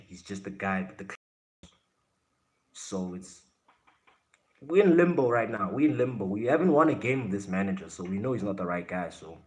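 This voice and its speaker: A fairly low-pitched man, chatting loosely to his livestream audience. He is blunt and brash, swearing and throwing jabs at commenters. The talk is relaxed and casual, aimed at the chat. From South Africa.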